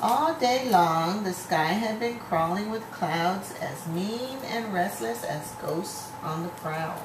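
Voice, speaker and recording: A woman reading a children's story aloud to a child, in an encouraging read-aloud manner. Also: medium pitch. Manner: encouraging, pauses to prompt and explain words. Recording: roomy, echoey home recording with clear noise.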